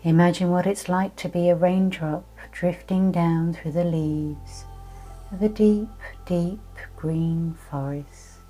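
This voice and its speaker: A woman with a low-set, very mellow voice guides a meditation. She speaks gently and without hurry, leaving pauses between phrases as she narrates a calming visualization. Soft music plays faintly behind her. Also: low-quality recording. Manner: gentle, soothing, unhurried hypnotic delivery with many pauses. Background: soft music with trickling, dripping water.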